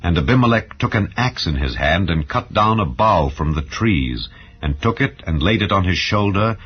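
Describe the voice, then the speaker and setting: A man reading scripture as a solemn, measured narrator in a dramatized style. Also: sits low, lively intonation. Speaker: narrator of a dramatized audio Bible. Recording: dull, muffled lo-fi sound.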